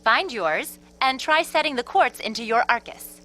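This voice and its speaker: A breezy female academy instructor from a video game. Her voice sits high and bright, with casual, teasing explanations that swing freely in pitch. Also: animated, normal speed, faint music behind. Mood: breezy, upbeat. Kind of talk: English video game voice acting.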